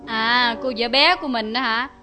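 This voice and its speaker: A gracious young wife with a high, measured voice that stays calm and firm under pressure. Faint music plays behind her. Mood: gentle. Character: dignified young wife, gentle but defiant.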